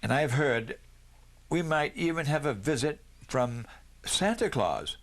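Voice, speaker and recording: A man voices a village minister in a children's storybook. He addresses the young ones in a measured, inviting manner. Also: fairly high pitch, lively intonation, fairly bright tone, pitched above the performer's own voice.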